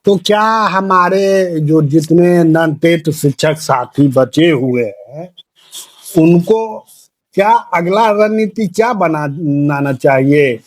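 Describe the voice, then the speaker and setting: A man putting questions in a phone discussion, with deliberate, formal phrasing. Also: a little high, no echo. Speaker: interviewer. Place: recorded audio call.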